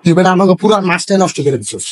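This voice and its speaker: A man's voice: high-pitched, sing-song, very fast. A man with a Bangladeshi accent playing an aggrieved, hot-headed shop worker in a comedy skit, coarse and complaining. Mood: angry. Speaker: comic actor in an online skit. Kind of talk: broad comedy skit acting.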